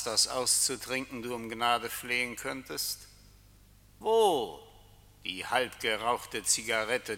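Death speaks as a solemn male coachman in a radio play. The delivery is slow and declamatory, insistent in its command. The voice is strikingly bright and cutting, ringing in a long reverberant hall. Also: mid-range voice. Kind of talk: German radio drama acting.

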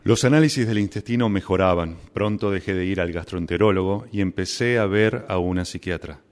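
A man's voice: deep voice, fairly quick, lightly acted. An actor with an Argentine accent playing an anguished, obsessive, melodramatic man in a radio drama, stammering at times. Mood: rueful storytelling. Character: anguished, obsessive man deprived of his beloved mate. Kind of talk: radio drama acting with first-person narration.

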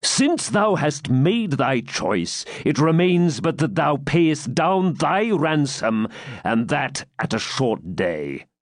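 A cold-hearted baron lays down his terms with slow, stern menace, voiced by a man reading a novel aloud. His words drag deliberately and carry contempt. The recording is dry and close. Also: a little high, very animated, dry recording, pitched above the performer's own voice. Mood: menacing. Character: cruel, sarcastic male Norman baron.

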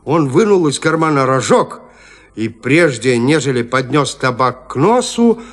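A man's voice: medium pitch, big pitch swings, a little slow. A man narrating a gothic horror story in an audio drama with theatrical suspense. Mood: suspenseful. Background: music.

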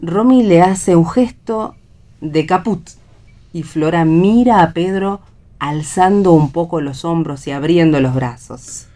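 A woman's voice: fairly low pitch, slow pace, dark tone. A woman with an Argentine accent reading the stage directions of a play as scripted narration.

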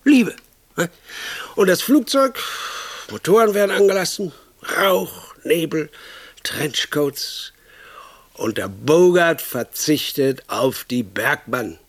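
An actor playing a pompous, crude and impatient film producer in a radio comedy, pitching movie ideas in a bossy, rambling, self-enthused way. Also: male voice, lively intonation, slow, pauses often, no echo, heavily acted. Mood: scheming, self-assured. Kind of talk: radio comedy monologue, improvised-sounding pitch.

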